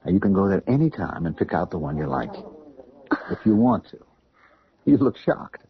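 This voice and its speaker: A placating young man of radio drama, has a low voice with animated swings. He explains himself earnestly and evasively. The old broadcast sound is dull. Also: normal speed, gravelly, slightly rough, no room sound, full character voice. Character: evasive, pleading young man named Corey, eager to placate. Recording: muffled old radio recording.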